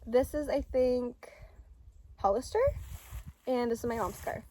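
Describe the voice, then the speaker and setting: A woman's voice: on the high side, unhurried, warm tone, a little hiss or hum, rough recording. A woman chatting playfully with a friend on camera, easygoing and casual. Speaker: vlogger's friend. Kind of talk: casual chat.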